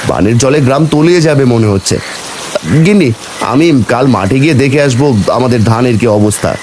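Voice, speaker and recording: A man's voice: medium pitch, lively intonation, fairly quick, noisy background, lightly acted. A man voicing a poor, worried village farmer and father in a cartoon, speaking plaintively. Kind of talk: cartoon voice acting.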